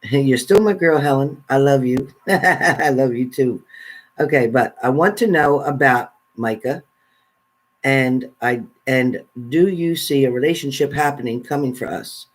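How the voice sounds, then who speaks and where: A man's voice: mid-range voice, measured pace. A man hosting a casual live question-and-answer stream, giving spirit readings and chatting with viewers.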